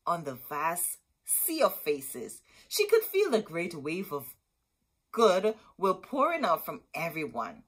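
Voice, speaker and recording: Middle aged lady doing kids storytime, slow n deliberate, kinda husky voice. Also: mid-range voice, a bit bright. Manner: dramatic, deliberate storyteller phrasing with asides to listeners. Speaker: storytime host reading a picture book. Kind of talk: expressive children's read-aloud. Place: small indoor room with bookshelves.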